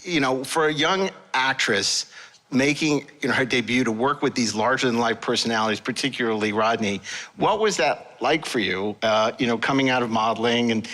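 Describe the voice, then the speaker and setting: A man's voice: medium pitch, moderate pace, a bit bright, rough recording. A man hosting a panel, asking questions in a casual, wisecracking way. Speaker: panel host, interviewer. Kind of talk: casual, jokey interviewing.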